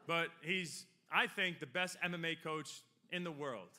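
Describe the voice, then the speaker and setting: A man around forty speaks into a podium microphone at a ceremony hall. His voice is bright and forward. He rambles through his acceptance speech, joking one moment and heartfelt the next. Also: fairly high pitch, measured pace. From Long Island, New York. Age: 40s. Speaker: hall of fame inductee.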